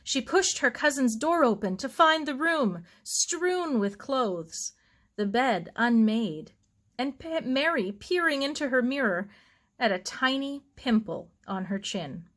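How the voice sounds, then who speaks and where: A woman narrates a story for listeners. Her voice sits a little high and moves in lively contours. She keeps a slow, expressive storytelling pace. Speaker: audiobook reader.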